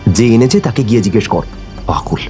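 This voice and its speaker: An actor playing a brash, curious young city man in an audio horror drama, brisk and dismissive. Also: fairly low pitch, expressive, mellow, soft background music, natural voice.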